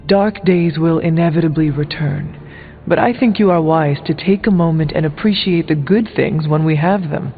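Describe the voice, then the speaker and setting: An actor playing an earnest warrior hero in a video game, talking in a formal, careful way. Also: male voice, on the high side, moderate pace, close to an everyday voice. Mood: sympathetic. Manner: formal, careful, avoids contractions. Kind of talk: video game character dialogue. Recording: muffled phone-like band, music behind.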